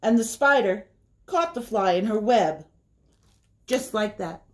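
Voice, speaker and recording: A middle-aged woman hosting a children's story time, reading aloud in a deliberate, sing-song way. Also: medium pitch, expressive. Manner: slow, deliberate, sing-song repetition for young listeners. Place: small indoor room by a window.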